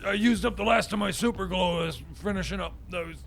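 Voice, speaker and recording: A man playing a jittery survivalist who fights a war for Christmas, stammering, repeating words and bursting into shouts on a radio comedy show. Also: high voice, quick pace, vocal fry, exaggerated voice. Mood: defiant. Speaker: regular character on a radio show.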